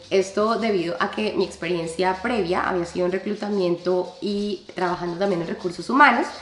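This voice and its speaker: Woman from Colombia, interviewee, measured explanatory talk, roomy echo. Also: medium pitch. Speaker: interviewee, psychologist. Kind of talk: recorded interview answers.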